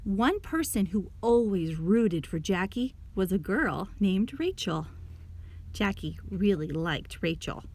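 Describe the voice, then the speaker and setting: Female storybook reader, mid-pitched, narrating expressively for a young audience. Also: animated, takes it slowly, a little mellow. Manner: clear storytelling with questions to young listeners. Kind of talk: expressive children's read-aloud narration.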